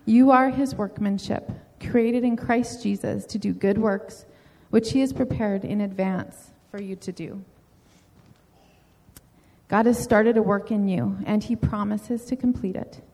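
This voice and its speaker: A woman's voice: medium pitch, steady tone, unhurried, a little mellow. Earnest woman with a reverberant voice. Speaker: mentor addressing a newly baptized woman. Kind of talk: encouraging message and read-out prayer. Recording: long reverb, hall-like.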